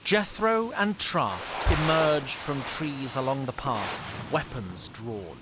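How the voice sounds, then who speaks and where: A man's voice: medium pitch, animated, takes it slowly, background noise. A man giving dramatic scripted narration for a fantasy audio drama.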